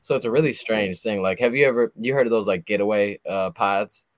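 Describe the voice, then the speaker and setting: Low-voiced rambling host in his thirties. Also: fairly quick, no room sound. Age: 30s. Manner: rambling, heavy on fillers like "like" and "you know". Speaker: podcast host. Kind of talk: casual interview chat.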